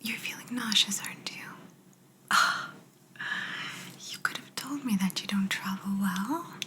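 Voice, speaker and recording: Woman as a slow, whispering, polite alien examiner. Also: medium pitch, lightly acted. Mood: curious, attentive. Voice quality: soft, breathy whisper. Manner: gentle, unhurried, clinical, faintly bemused. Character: polite, curious alien examiner aboard a spaceship. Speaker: ASMR roleplayer. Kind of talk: whispered ASMR medical roleplay.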